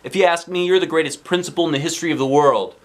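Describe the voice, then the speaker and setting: A man voicing an earnest, outspoken young schoolboy in a children's storybook, with an emphatic, animated manner. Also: a little high, very fast, voice pushed up, close to an everyday voice. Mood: enthusiastic. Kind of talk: children's storybook character reading.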